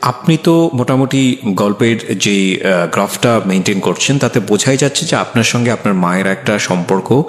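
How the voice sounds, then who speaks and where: A man with a Bangladeshi accent hosting a life-story interview, speaking to listeners in a measured, deliberate way and mixing in English phrases. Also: on the low side, expressive. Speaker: radio show host. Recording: echoey room.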